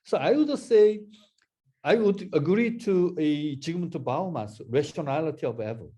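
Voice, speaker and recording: A man answering questions in an academic discussion over a video call, halting and restarting his phrases often. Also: on the high side, lively intonation, dark tone. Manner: halting, repeats words, many restarts.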